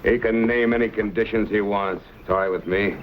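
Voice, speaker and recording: Male actor as cocky western bully, lowish voice, old radio drama, muffled and noisy. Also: fairly even intonation, a little fast, close to an everyday voice. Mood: cocky, wary. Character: taunting frontier bully spoiling for a fight.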